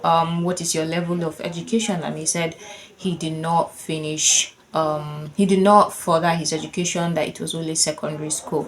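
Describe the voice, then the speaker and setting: A woman in her thirties with a Nigerian accent, a Yoruba speaker, telling a personal story in a chatty, casual way with lots of "like" and bursts of laughter. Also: lowish voice. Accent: Nigerian English. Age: 30s. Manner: chatty and conversational, lots of "like", laughing while she tells it.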